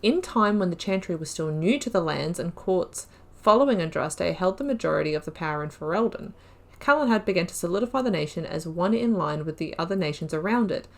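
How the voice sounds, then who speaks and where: This is a woman with a slightly low voice who hosts a game playthrough. She reads lore entries aloud. Between entries she chats in a casual way, and her sentences often trail off and restart. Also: animated, a little fast, creaky. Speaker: gaming video host.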